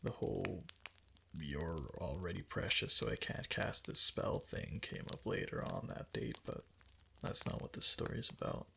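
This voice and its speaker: A man playing a doting, obsessively devoted fox-spirit husband in an intimate roleplay ASMR, speaking softly and tenderly in breathy, halting endearments. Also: low-pitched, expressive, background noise, exaggerated voice. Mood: fond, reminiscing. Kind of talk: intimate roleplay ASMR, soft and partly whispered.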